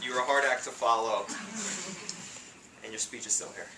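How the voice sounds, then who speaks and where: A man in his thirties with a New York City accent, giving a humorous contest speech with punchy timing and pauses for laughs. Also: medium pitch. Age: 30s. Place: meeting room with an audience. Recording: echoey room, distant mic, faint noise.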